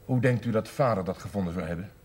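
A husky, dark-voiced reproachful son. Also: male voice, lowish voice, fast talker. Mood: shocked, reproachful. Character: reproachful grown son confronting his mother. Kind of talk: naturalistic 1970s television drama acting.

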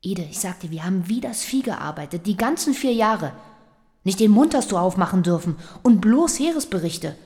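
Actress as Minna, eager working-class woman, mid-pitched. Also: animated, normal speed, warm tone, big-room sound, lightly acted. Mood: fervent. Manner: colloquial, eager, emphatic. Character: Minna, fervent working-class socialist factory daughter. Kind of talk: German radio play acting.

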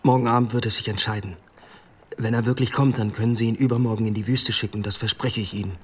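A man playing an earnest, quietly determined police inspector in a radio play, formal and controlled. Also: lowish voice, steady tone, fast talker, husky.

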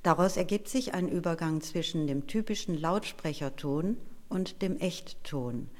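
A woman with a low voice works as an interpreter before listeners. She delivers each phrase deliberately and evenly spaced, as someone relaying a prepared talk. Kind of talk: live consecutive interpreting and reading of a prepared text.

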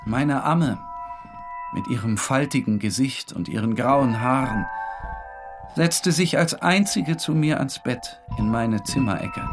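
Tormented obsessive husband, brooding dramatic audio-drama narration, deliberate intensity, prominent music behind. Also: male voice, medium pitch. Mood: weary, haunted. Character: tormented, obsessive man recounting his unhappy marriage. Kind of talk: dramatic first-person literary narration. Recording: studio narration over clear music.

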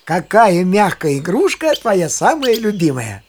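An older man playing a kindly, knowledgeable grandfather in a children's audio play, explaining things to a child in a husky voice. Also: high-pitched, sing-song, a little slow, darkish tone, a little hiss or hum, full character voice. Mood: fond, playful. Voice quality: husky, raspy. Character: kindly, knowledgeable old grandfather on a nature walk.

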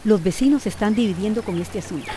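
Woman acting blunt, mellow-voiced local official. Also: medium pitch, quick pace, a little hiss or hum, close to an everyday voice. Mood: skeptical. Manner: blunt, argumentative. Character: pragmatic, skeptical female local official, reluctantly loyal. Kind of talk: radio drama acting.

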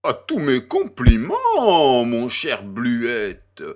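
A man's voice: mid-range voice, wildly swooping pitch, drawn-out words, full character voice. A man playing a jovial, worldly French baron in an audio drama, with pompous, effusive courtesy.

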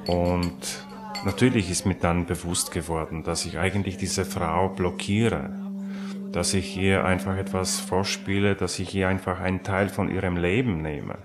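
A man recalling his own life in a reflective, halting and deliberate way. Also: low voice, fairly even intonation, background noise. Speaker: interviewee telling own life story. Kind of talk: slow reflective personal recollection. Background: music.

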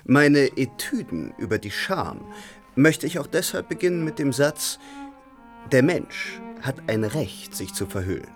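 Ceremonious old thinker hosting, melodic voice, cello under it. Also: male voice, medium pitch, sing-song, unhurried, faint music behind. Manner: solemn, ceremonious. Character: urbane older male philosopher hosting a gathering.

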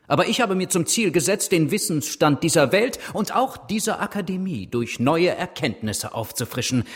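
An actor playing a proud, eccentric English gentleman inventor in a German radio drama, polite but cutting and excitable. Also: fairly high pitch, normal speed, husky. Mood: defiant, cutting.

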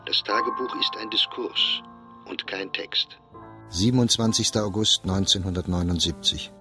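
A man reading diary entries aloud for a radio drama in a measured, reflective, understated way. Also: fairly low pitch, pushed and bright, soft background music.

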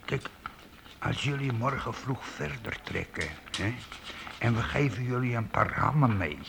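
A man playing a talkative, anxious medieval gatekeeper in a Dutch radio drama, speaking haltingly and earnestly with a gravelly voice. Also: mid-range voice, expressive. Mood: hesitant pleading. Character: talkative, anxious, pleading medieval castle gatekeeper with big belly. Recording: radio play with faint background noise.

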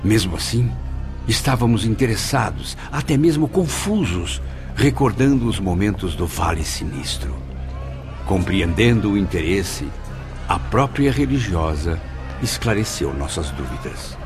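An awed spirit who narrates his journey in a radio drama, speaking with emotive, exclamatory feeling. His pitch swings widely. Music plays clearly beneath his voice. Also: lively intonation, measured pace. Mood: narrating. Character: awed male spirit visitor recounting. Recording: music bed under voice.

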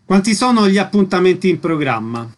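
A man's voice: high-pitched, very animated, brisk. A man hosting a radio interview, asking brief, direct questions. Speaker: radio host.

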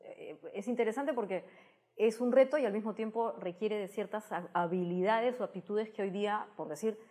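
A woman's voice: medium pitch, normal speed, dark tone. A woman with a Peruvian Spanish accent giving explanatory, conversational interview answers, often ending phrases with a tag question. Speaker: interview guest, science communicator.

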